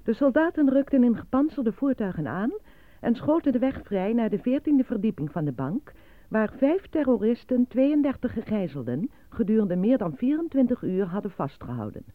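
A woman's voice: on the high side, very animated, dry recording. A woman acting as a formal, matter-of-fact newsreader reading a bulletin in a radio drama. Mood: formal, factual. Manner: formal, measured announcing. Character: matter-of-fact female newsreader reporting grim news. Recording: dry, thin phone-like radio bulletin.

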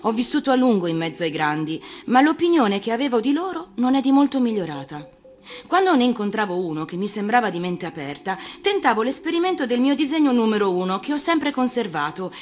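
A woman actor reading a storybook for radio, expressive and theatrical, with deliberate phrasing. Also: fairly high pitch. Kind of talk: expressive scripted storybook narration.

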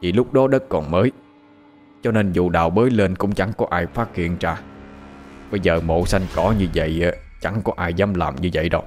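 A man voicing an old village ritual master who explains things in a measured, solemn way. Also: deep voice, darkish tone, soft background music, lightly acted. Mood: grave. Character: old male ritual master, calm and grave.

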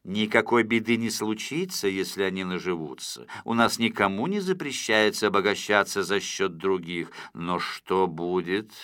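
An imperious, impatient tycoon acted out by a male narrator for a storybook recording. He speaks low and very slowly, dragging out his weighty words with menace. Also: pushed and bright, smooth, dry recording, studio quality, exaggerated voice. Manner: slow, weighty, drawn-out delivery. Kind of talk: audiobook storybook character acting.

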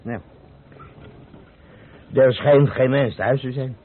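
An actor playing a sly, chuckling, well-to-do burgher in an old radio play, with hesitant asides. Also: male voice, mid-range voice, slow pace, very noisy, close to an everyday voice. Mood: amused. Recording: tinny old radio recording.

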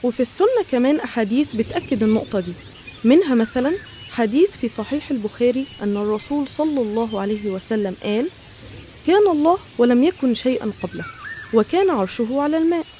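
A woman's voice: fairly high pitch, warm tone, slight background noise. A woman with an Egyptian Arabic accent narrating religious stories in an educational style.

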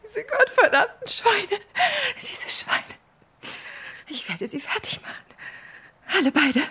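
A woman's voice: sits high, very animated, measured pace, a bit bright, full character voice. An actress in a German radio drama playing a volatile, wounded female film star, intimate and theatrical. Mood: bitter rage.

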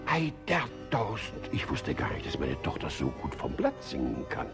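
An actor playing a grumpy, domineering middle-aged hypochondriac father in a German comedy radio play, blustering and imperious with a husky voice. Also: extremely low pitch, wildly swooping pitch, moderate pace, over music, exaggerated voice. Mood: surprised.

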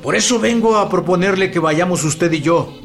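A man with a Mexican accent playing a courteous, deliberate revolutionary army general in a radio drama. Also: high-pitched. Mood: diplomatic. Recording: roomy echo, loud music underneath.

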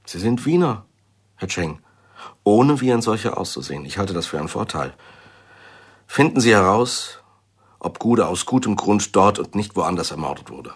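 Deep, husky intelligence man. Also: sing-song, normal speed, pauses often, exaggerated voice. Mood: measured, guarded. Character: guarded Norwegian intelligence man, measured and businesslike client. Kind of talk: radio crime drama acting.

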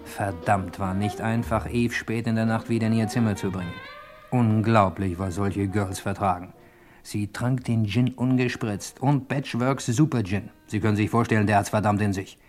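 An actor playing a boastful private detective who gets flustered by women, in a German radio crime drama. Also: male voice, fairly low pitch, normal speed, music in the background, natural voice. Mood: smug, confiding. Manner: hesitant and bumbling with women, smug when narrating.

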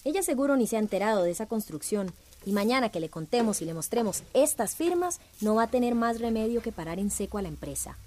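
A woman's voice: on the high side, very animated, fast, warm tone. A woman playing an eager, outspoken young village activist in a radio drama. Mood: determined.

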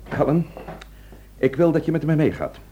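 An actor playing a firm, probing police inspector in a Dutch radio play, authoritative and clipped in manner. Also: male voice, fairly high pitch, big pitch swings, normal speed, warm tone, muffled recording, a little hiss or hum. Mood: brisk, controlled.

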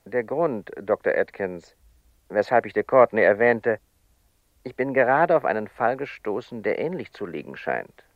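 An actor playing an evasive, defensive telepath in a radio play, with an emphatic delivery. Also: male voice, fairly low pitch, very animated, normal speed, dry recording. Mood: probing. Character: defensive quack telepath, an evasive old friend. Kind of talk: German radio play acting. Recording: muffled, phone-like, dry radio recording.

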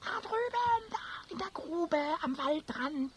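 Little kobold for a kids radio play, super high and squeaky, bouncing all over, cheeky and overexcited. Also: male voice, takes it slowly, noisy background, heavily acted. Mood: curious. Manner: eager, stammering with excitement, rhyming playfully. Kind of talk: 1970s German children's radio play.

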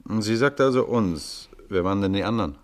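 A very deep-voiced police inspector played by a male actor from a 1950s German radio krimi. He is brisk and probing, putting clipped questions with dry authority. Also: lively intonation, lightly acted. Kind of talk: 1950s German radio crime drama acting.

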